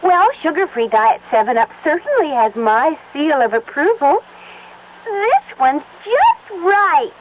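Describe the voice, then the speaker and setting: A woman's voice: high-pitched, very animated, measured pace, background noise. An actress playing a perky young female taste tester in an old radio commercial, bubbly and coy. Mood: chirpy. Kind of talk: 1970s radio commercial acting. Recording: tinny lo-fi old radio broadcast.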